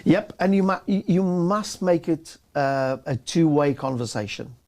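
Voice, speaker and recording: A man's voice: on the high side, expressive, unhurried, a little mellow. A man co-hosting a casual business chat, often restarting and repeating words as he talks. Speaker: co-host.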